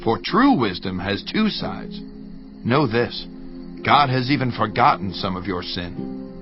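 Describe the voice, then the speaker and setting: A stern friend rebuking a complainer, his voice rising and falling with feeling. Soft music plays beneath a slightly muffled recording. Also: mid-range voice. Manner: scolding, lively.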